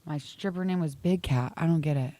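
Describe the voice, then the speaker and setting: A young woman co-hosting a morning radio show, slightly raspy, playful and teasing in quick banter. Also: sits low, dark tone, no room sound. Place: radio studio. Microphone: studio microphone.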